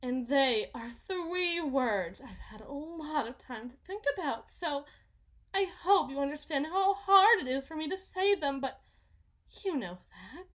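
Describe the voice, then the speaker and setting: A woman playing a delusional, possessive mother in a dramatic ASMR roleplay, halting and repeating herself theatrically, shifting between hushed and intense. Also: high voice, expressive. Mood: tearful relief, then adoring, intense. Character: delusional, possessive mother smothering her supposed lost child.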